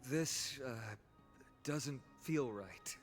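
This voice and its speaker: An actor playing a kind, earnest young male hero in a game, speaking calmly and reassuringly. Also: medium pitch, extremely slow, soft background music. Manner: calm, reassuring.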